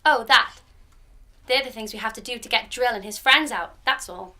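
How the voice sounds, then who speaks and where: A woman playing a young girl in a radio drama, sweet and calmly secretive about dark things. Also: a little high, normal speed. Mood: sulky. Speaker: actor in a student radio drama.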